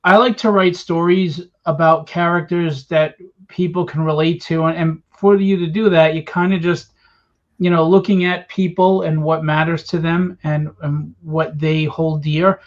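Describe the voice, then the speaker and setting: Male panel guest, lightish higher pitch, casual, slightly dull lo-fi audio. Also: little pitch movement, measured pace.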